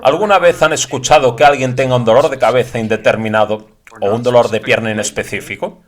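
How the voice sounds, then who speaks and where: A man with a mid-pitched voice reads a translated lecture as a voice-over. He narrates as a translator standing in for the speaker. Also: fairly even intonation, fast.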